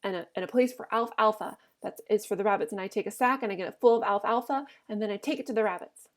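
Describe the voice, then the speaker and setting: A woman's voice: medium pitch, quick pace, natural voice. A woman voicing a big, simple-minded, childlike farmhand man in a storybook reading, earnest and pleading in rural dialect.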